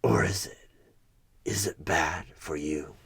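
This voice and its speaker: An extremely low, sinister male demon conducts a job interview as a horror roleplay. The voice is a slow, husky growl that slips into breathy whispers. The delivery is deliberate, menacing and manipulative, a tempter pressing a corrupt deal. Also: steady tone, fairly bright tone, heavily acted. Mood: taunting, persuasive. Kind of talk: one-sided horror ASMR audio roleplay.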